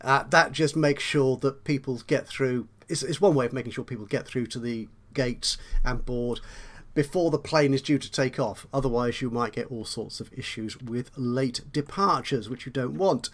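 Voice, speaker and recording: A man's voice: medium pitch, moderate pace, a bit bright. A man giving an unscripted tutorial commentary on a video game, explaining step by step with hesitant asides and self-corrections. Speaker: tutorial host.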